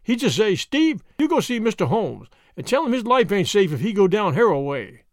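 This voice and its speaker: A man playing a loud, crude bruiser. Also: high-pitched, very animated, normal speed, dry recording, clean studio sound. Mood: cowering. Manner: blustering threats in rough ungrammatical slang. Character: hulking blustering male bruiser, menacing and crude.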